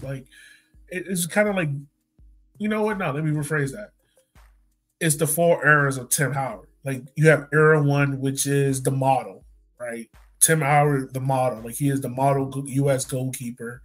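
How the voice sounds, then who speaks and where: A man hosting a sports podcast in a casual, informal chatting style, often checking in with the listener. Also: fairly high pitch. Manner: informal, frequently checks in with "right" and "like". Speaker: podcast host.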